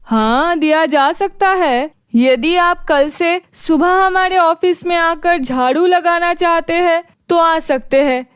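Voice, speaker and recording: A woman's voice: very high-pitched, takes it slowly, few pauses, no room sound, clean studio sound. A woman voicing a dismissive, mocking office manager in a cartoon fairy tale, talking down condescendingly.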